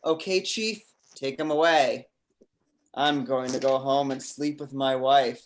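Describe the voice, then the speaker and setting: A man's voice: mid-range voice, unhurried, slight background noise, natural voice. A man playing a male undercover federal agent, defiant and smug, in an informal read-through of a film script. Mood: smug, triumphant. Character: meek guest revealed as triumphant undercover federal agent, male.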